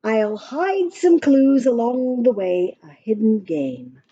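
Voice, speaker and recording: A woman reading a rhyming picture book aloud to young children in an expressive, sing-song way, with counting and little asides. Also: on the high side, slow pace, slightly rough. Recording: muffled lo-fi audio with background music. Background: music from a picture-book app.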